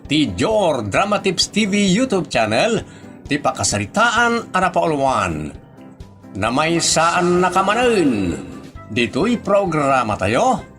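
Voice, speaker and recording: Male Ilocano drama announcer, scripted intro, very animated delivery, faint music bed. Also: on the high side. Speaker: programme announcer.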